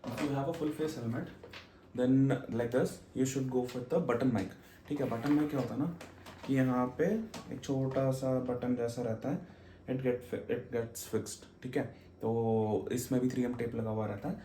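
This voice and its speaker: Slow-paced Indian man, roomy echo. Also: mid-range voice, fairly even intonation, warm tone. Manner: mixes Hindi and English mid-sentence, explanatory, frequent check-in tags. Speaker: motorcycle vlogger reviewing gear. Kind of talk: casual unboxing and review talk. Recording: roomy echo, noticeable background noise.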